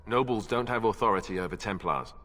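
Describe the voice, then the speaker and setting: An actor playing a knowing, matter-of-fact warrior companion in a video game, explaining plainly in a long reverb with faint noise. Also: male voice, on the low side, expressive, fairly quick, a bit bright. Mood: concerned, wry.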